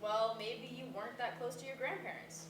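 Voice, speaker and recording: An actress playing a young grieving granddaughter in a staged play reading, casual, wry and quick to push back. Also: female voice, fairly high pitch, fairly even intonation, natural voice. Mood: argumentative. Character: grieving young granddaughter, apologetic yet teasing and argumentative. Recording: echoey noisy room, distant mic.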